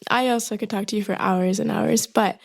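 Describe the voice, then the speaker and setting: A young woman, a graduate student co-hosting a podcast, speaking warmly and effusively with frequent fillers. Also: mid-range voice, fairly even intonation, brisk, gravelly. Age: young adult. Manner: warm and effusive, with frequent fillers like "you know" and "like". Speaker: podcast co-host. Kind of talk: casual interview conversation.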